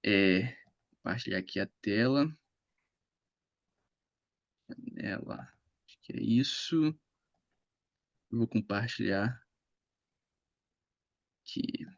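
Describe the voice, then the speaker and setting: A man's voice: lowish voice, expressive, takes it slowly, long pauses, lo-fi sound. A man in his twenties with a Brazilian accent, informally presenting his project over an internet video call. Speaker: presenter, journalism graduate.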